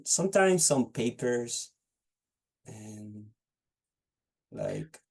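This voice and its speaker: Slow gravelly young man with a Chilean accent. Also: mid-range voice, huge pitch swings, darkish tone. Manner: hesitant, restarts phrases while searching for words. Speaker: test candidate. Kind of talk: unscripted speaking-test answers. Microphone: video call.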